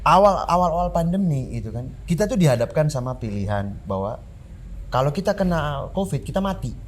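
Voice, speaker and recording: A man's voice: fairly high pitch, fairly quick, a little hiss or hum. A man from Flores, a musician, talking animatedly in a casual podcast chat, slipping in slang and English words. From Flores, Indonesia. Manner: animated, reflective, talks in slang with English bits. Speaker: musician guest.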